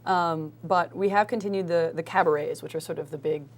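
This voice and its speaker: A woman's voice: sits low, steady tone, brisk. A woman with an American accent explaining things casually as an interview guest. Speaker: interview guest, co-director of a local film group. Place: live local TV studio.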